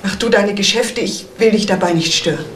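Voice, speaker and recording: A woman's voice: mid-range voice, brisk, slight background noise, natural voice. A woman acting the part of a professor's anxious, defensive daughter in a German crime radio drama, hesitant and pleading. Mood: defensive, strained.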